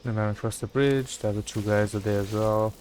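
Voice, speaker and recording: A man with a fairly low voice streams a game, talking casually through his thoughts as he plays. His commentary is informal and half to himself. There is clear background noise with clicks behind him. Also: steady tone, brisk, a little mellow, rough recording. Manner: casual, muttering thoughts aloud. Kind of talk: live gameplay commentary.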